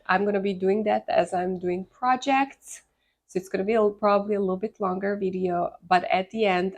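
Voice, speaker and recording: Low-pitched, congested woman with Serbian accent. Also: gravelly. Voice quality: congested from a sinus infection. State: congested with a sinus infection. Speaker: host of a succulent gardening channel. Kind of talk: casual vlog chat.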